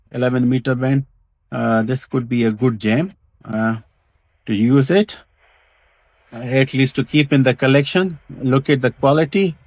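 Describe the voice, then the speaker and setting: A man with a mid-range voice and non-native English walks through a hobby product showcase. He talks casually and haltingly, pausing between short phrases. The sound is close and dry, with little room in it. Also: mid-range voice, dry recording. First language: not English. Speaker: vintage radio hobbyist presenter.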